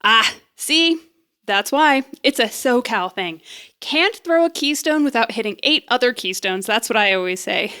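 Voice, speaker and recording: A woman's voice: on the high side, lively intonation, moderate pace, bright, forceful tone, clean tone. A woman playing a nervous, jokey young woman who rambles and puts herself down as she bluffs through an interview in an audio drama. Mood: bluffing. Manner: rambling, self-deprecating, flustered. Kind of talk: audio drama acting, conversational comedy.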